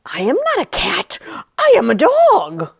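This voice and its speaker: A woman's voice: high-pitched, huge pitch swings, measured pace, creaky, dry recording, studio quality, exaggerated voice. A woman voicing an indignant, easily alarmed male dog in a children's storybook, protesting and exclaiming emphatically.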